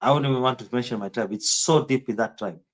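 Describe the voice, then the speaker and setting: A man with a strong Kenyan accent talks loosely to his live audience and rambles from point to point. He answers chat comments by name in a casual streaming manner, and his voice sits at an ordinary middle pitch. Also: quick pace.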